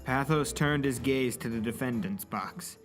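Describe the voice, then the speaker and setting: Medium-pitched man narrating a dramatic story. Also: unhurried, over music.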